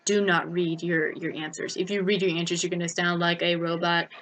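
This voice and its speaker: A woman's voice: lowish voice, level tone, a little fast, fairly bright tone. A young American woman giving casual vlog advice in a chatty, informal way, often repeating words for emphasis. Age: young adult. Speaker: vlogger. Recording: noticeable background noise.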